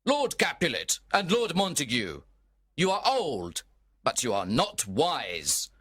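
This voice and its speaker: Man voicing a stern, high-pitched angry prince. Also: wildly swooping pitch, fairly bright tone, slightly rough, heavily acted. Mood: stern anger. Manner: stern, slow, emphatic. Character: angry, commanding prince scolding feuding old lords. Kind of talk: storybook dramatization.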